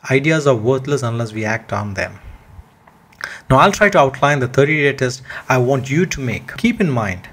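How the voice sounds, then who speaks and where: A man with a husky voice reading a motivational speech aloud in an earnest, emphatic, deliberately phrased way. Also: medium pitch, expressive, fairly bright tone. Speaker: reader of a self-help speech.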